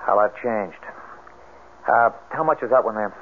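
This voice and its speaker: An actor playing an earnest, anxious young man from a swamp cabin in a 1970s radio drama, urgent and a little halting. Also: fairly low pitch, lightly acted. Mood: guarded. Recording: noisy, tinny vintage radio broadcast.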